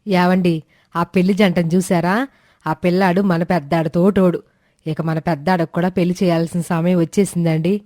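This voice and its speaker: Lady doing a doting middle-aged mother-in-law in a moral tale, slightly low, dry sound. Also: flat delivery, brisk, dark tone, no echo, natural voice. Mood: pleased. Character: affectionate middle-aged mother-in-law, proud of her family.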